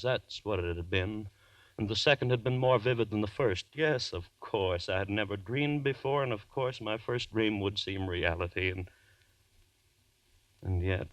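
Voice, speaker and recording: Actor as haunted condemned man, low-voiced. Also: dry recording, lo-fi sound, close to an everyday voice. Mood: agitated, fearful. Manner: theatrical, halting, feverish first-person narration. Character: haunted condemned man recounting his nightmares, terrified, desperate. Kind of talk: 1940s radio horror acting. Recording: 1940s radio broadcast recording.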